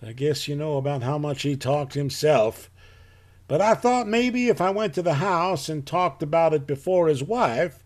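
A man playing a plain-spoken rural farmer in a live audio drama, telling his story in a folksy, halting way. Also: on the high side, animated, a little mellow, lightly acted. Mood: rambling, earnest.

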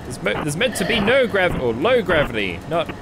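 A man's voice: fairly high pitch, sing-song, brisk. A man in his twenties giving casual let's-play commentary, with jokey, nervous muttered reactions. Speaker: gaming video host. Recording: clear game noise behind the voice. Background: game ambience and radio chatter.

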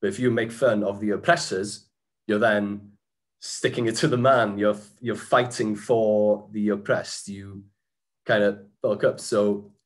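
A man's voice: lowish voice, steady tone, measured pace. A man, giving a casual presentation over a video call, talking in a chatty way with many restarts and fillers. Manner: chatty, frequent restarts and fillers, occasional laughs. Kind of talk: informal slide-talk presentation. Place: small room indoors. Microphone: webcam on a video call.

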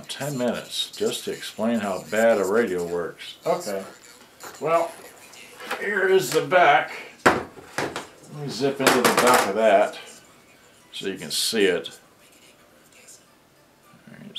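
A man giving a casual, rambling demonstration at a workbench, muttering to himself as he goes. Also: lowish voice. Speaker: hobbyist repairer showing a vintage boombox. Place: cluttered indoor workbench. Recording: roomy, noisy home camera recording.

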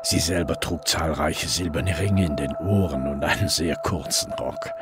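A man's voice: extremely low pitch, measured pace, over loud music. A man acting as a brooding police detective who narrates his case in first person in a German crime radio play. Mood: reflective, subdued.

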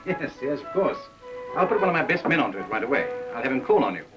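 An actor playing a bossy, exasperated public relations boss in a film comedy, brisk and scolding. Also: male voice, medium pitch, very animated, dull audio, over music, lightly acted. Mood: smooth, assuring.

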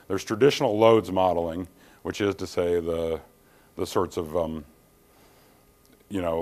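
A middle-aged man giving a technical conference presentation in a dim hall, speaking in a measured way with frequent ums and restarts. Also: low voice, a little mellow. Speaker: keynote speaker, engineer. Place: dim conference hall, standing by projector screen.

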